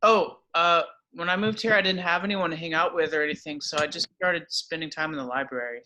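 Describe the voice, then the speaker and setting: A man's voice: a little high, a little fast, pushed and bright, a little hiss or hum. A man reading as a shy, bookish teenage boy, hesitant and earnest in a table read.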